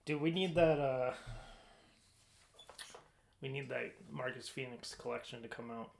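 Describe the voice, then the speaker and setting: A man's voice: mid-range voice, unhurried, creaky, noisy background. A man co-hosting a podcast in casual chat, informal and often thinking aloud as he goes. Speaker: podcast co-host. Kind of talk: casual chat about movie casting.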